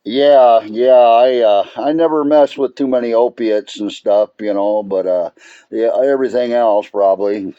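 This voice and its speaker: A man's voice: medium pitch, fairly even intonation, measured pace, slight background noise. A man chatting casually over two-way radio in a laid-back way, often calling the listener "man". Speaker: amateur radio operator. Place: radio transmission. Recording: muffled, echoey two-way radio transmission.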